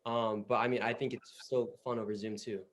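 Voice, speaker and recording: A man's voice: mid-range voice, flat delivery, fairly quick. A teenage boy actor talking casually on a video call, peppering his speech with "like". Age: teen. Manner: casual, many "like"s. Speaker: young actor.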